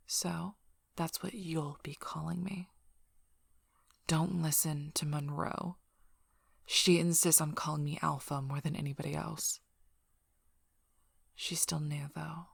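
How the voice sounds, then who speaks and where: A woman playing a curt, sardonic, guarded female werewolf pack leader in an ASMR role-play, speaking bluntly and drily in a breathy, often whispered voice. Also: low voice, no room sound, heavily acted. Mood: dry, reluctant. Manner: blunt, dry, impatient, unhurried.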